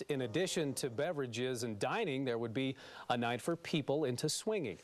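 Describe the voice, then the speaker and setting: Male American news anchor, mid-range voice, scripted morning bulletin delivery. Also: medium pitch.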